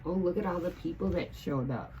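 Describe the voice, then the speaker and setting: A woman's voice: fairly low pitch, a little fast, warm tone, slight background noise, low-quality recording. A woman teaching assistant reading a picture book aloud expressively, with teacherly asides.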